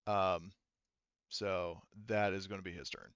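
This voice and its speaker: Guy game mastering online, smooth voice, kinda dull dry headset sound, stops and starts while he checks stuff. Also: low-pitched, fairly even intonation, fairly bright tone, no room sound. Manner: halting, thinking aloud while checking rules. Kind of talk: narrating and refereeing an online tabletop roleplaying game. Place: online voice call. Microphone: gaming headset.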